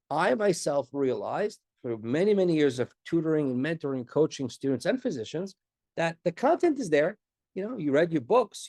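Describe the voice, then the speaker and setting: A man's voice: a little high, a little mellow, no echo, clean studio sound. Congested man coaching a live class. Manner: chatty, reassuring, asks rhetorical questions. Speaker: exam prep coach. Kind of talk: live online class, casual talk to students.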